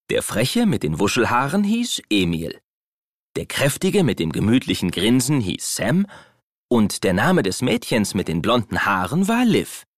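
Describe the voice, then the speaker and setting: A man narrating a children's audio play in an expressive storytelling manner. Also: on the low side, no room sound, studio quality.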